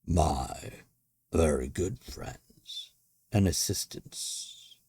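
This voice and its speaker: A man voicing a genial, pompous older gentleman who runs a madhouse, in a dramatic storybook reading with theatrical, self-assured delivery. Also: rumbling low, drawn-out words, slightly rough, dry recording, clean studio sound, full character voice. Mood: proud, assured.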